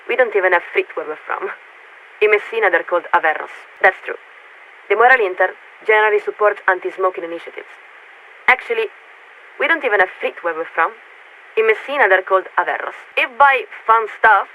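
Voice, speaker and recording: A woman playing a polite, guarded young warship radio signaler in a video game, speaking in formal, measured official diction through a radio filter. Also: medium pitch. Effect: radio transmission filter. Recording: tinny narrowband radio with static noise.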